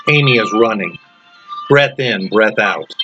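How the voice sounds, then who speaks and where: A man narrates literary fiction in a low voice, phrasing it deliberately and dramatically. Words and short phrases are set apart from one another. The recording is dull and lo-fi, with loud music playing behind the reading. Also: lively intonation. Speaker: author reading own story.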